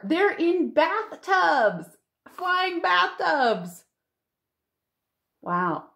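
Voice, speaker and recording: Expressive woman reading to young children. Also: on the high side. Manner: expressive, full of wonder, drawn-out emphasis. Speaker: children's librarian hosting storytime. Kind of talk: picture-book read-aloud with asides to young children. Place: living room at home, indoors.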